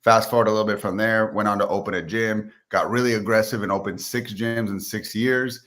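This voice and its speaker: Deep-voiced man, upbeat podcast guest. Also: steady tone, a little fast, fairly bright tone. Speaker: podcast guest, fitness entrepreneur. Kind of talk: conversational personal storytelling.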